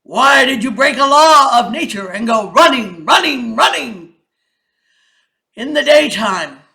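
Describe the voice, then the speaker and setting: Woman as a loud, accusing lion king. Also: on the high side, expressive, a little slow, gravelly, exaggerated voice. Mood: stern, demanding. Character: booming male lion king judging his animals. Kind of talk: storybook read-aloud.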